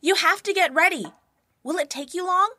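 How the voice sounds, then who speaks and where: A woman's voice: very high voice, normal speed, sharp tone, dry recording, voice pushed up. A woman voicing an eager, impatient little boy in a story, pestering with questions.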